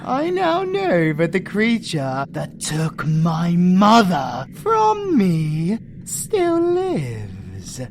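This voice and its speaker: A man's voice: sits high, wildly swooping pitch, a little hiss or hum, heavily acted. A British man playing a vengeful, doting alpha werewolf in an audio roleplay, with a dramatic delivery. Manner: slow, dramatic, wildly swooping delivery.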